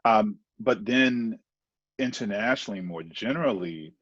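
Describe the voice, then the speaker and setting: A man with a very low voice speaks as a panelist, pausing and restarting his phrases often. The sound is dry and close, with no room around it. The audio is dull and lo-fi. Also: a bit bright, no room sound. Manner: halting, repeated restarts. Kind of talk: academic panel discussion.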